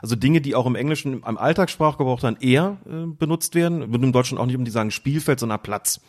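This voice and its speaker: A man calmly explaining football rules in a casual podcast chat, hesitant and full of fillers. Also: medium pitch, fast. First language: German. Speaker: referee rules expert guest.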